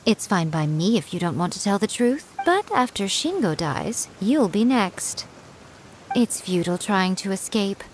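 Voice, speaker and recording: A self-assured video game shrine maiden with a mid-range voice. She is silky and deliberate, teasing whoever she addresses with mocking poise. There is some background noise behind her. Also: medium pitch, very animated, low-quality recording. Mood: coolly threatening. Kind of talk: scripted video game voice acting.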